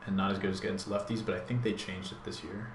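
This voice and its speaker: A man with a deep voice hosting a gaming channel, thinking aloud in a laid-back, rambling way with plenty of fillers while he plays. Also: little pitch movement, fast, crowd noise. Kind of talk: casual live gameplay commentary. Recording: echoey room with background noise.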